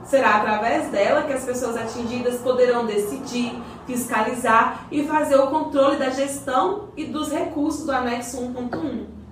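High-voiced, echoey actress as encouraging community guide. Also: female voice, normal speed, lightly acted. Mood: explanatory. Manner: didactic, encouraging. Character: encouraging, well-informed woman guiding her community. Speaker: character explaining community projects. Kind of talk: scripted radio soap, explanatory. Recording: very echoey, distant mic, faint noise.

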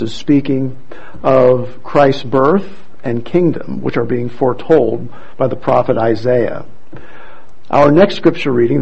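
A man leading a church service, explaining things deliberately to a congregation over a microphone. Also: medium pitch, gravelly, a little mellow, breathy edge, muffled recording. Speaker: church service leader introducing readers. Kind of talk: addressing a congregation, explanatory announcements.

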